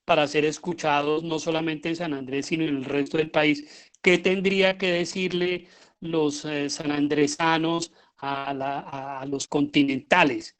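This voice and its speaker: A middle-aged man with a Colombian accent giving an informal lecture, often pausing and repeating himself as he searches for words. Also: a little high, steady tone, fairly bright tone, clean tone. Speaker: lecturer, radio drama director.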